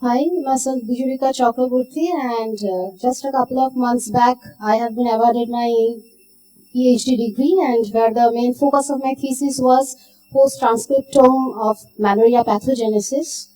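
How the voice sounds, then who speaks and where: A woman's voice: fairly high pitch, fairly even intonation, normal speed, dark tone, soft background music. A woman research scientist introducing herself at a conference in a formal, slightly wordy way. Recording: distant mic in a meeting room.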